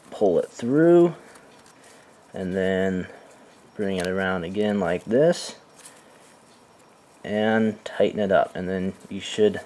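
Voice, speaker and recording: A man calmly talking through how to tie knots step by step, filmed indoors with the camera looking down at his hands. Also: low-pitched. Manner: calm, unhurried, with soft fillers. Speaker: knot-tying instructor. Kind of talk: step-by-step demonstration. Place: indoors, filming hands over a carpeted floor.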